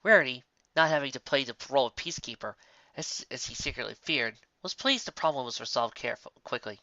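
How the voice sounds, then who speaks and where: A man's voice: mid-range voice, lively intonation, a little fast, bright, forceful tone, no room sound. A man reading a story aloud as scripted narration. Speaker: story reader. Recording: dull, muffled, very dry.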